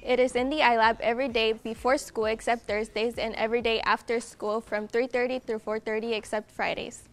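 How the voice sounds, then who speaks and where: A woman's voice: fairly high pitch, level tone, fairly quick, hardly any pauses. Teen girl anchoring school news. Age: teens. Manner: reading announcements, scripted. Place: studio in front of a screen.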